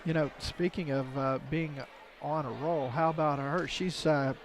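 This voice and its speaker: A man giving color commentary at a volleyball match, casual and halting. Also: fairly high pitch, steady tone, background noise. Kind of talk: sports broadcast commentary.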